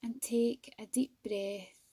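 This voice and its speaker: A woman teaching yoga nidra as a guided meditation, speaking softly and soothingly with gentle, measured pauses. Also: a little high, steady tone, breathy edge, dry recording.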